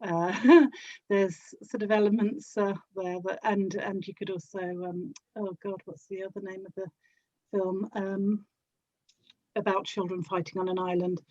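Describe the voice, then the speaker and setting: A woman's voice: mid-range voice, flat delivery, a little slow, darkish tone, dull audio. A woman from South London with a South London accent, chatting casually as an interview guest. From South London, England. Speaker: interview guest, audio drama actor and producer.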